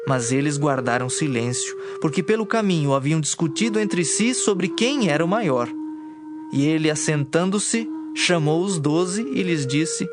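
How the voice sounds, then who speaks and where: Higher-voiced Brazilian male narrator over music. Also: lively intonation, unhurried. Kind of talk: scripted narration of dramatized scripture.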